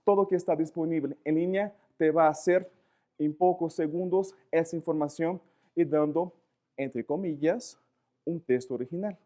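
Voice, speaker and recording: Portuguese-accented male lecturer, slightly high voice, lively, pauses to find words. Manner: animated, searching for words, frequent rhetorical questions. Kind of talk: live lecture to students.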